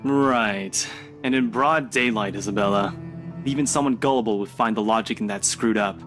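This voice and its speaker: A man's voice: on the low side, normal speed, fairly bright tone, close to an everyday voice. An actor playing a skeptical, mocking young man in a visual novel, blunt and dismissive in his delivery. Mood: scornful. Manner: sarcastic, blunt. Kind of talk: dramatic visual novel voice acting. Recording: music under the dialogue.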